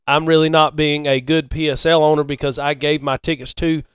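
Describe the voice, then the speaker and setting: A man co-hosting a casual sports chat, speaking haltingly and often repeating himself. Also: a little high, little pitch movement, smooth, dry recording, clean studio sound. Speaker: podcast co-host.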